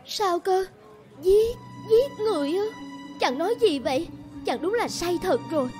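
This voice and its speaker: An actress playing a gentle, anxious, devoted village bride in a cartoon folk tale, pleading and hesitant. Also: female voice, very high voice, expressive, a little slow, soft background music. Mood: horrified. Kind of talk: animated folk-tale dialogue.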